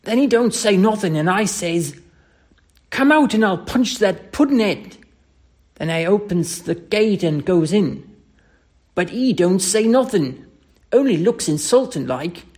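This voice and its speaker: A reader voicing a young Cockney ex-soldier servant in an audiobook story, rough-spoken, emphatic and deferential. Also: medium pitch, lively intonation, unhurried, a little mellow, pitched above the performer's own voice. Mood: boastful, disgusted.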